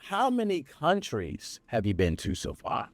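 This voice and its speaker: A man hosting a casual podcast chat, slangy and animated, often asking "you know what I mean". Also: on the high side, unhurried, dry recording. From United States. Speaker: podcast host.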